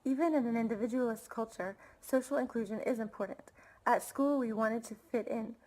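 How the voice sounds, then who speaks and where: A woman's voice: fairly high pitch, brisk, darkish tone, rough recording. A woman with an American accent reading a scripted personal reflection as a student presenter. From United States.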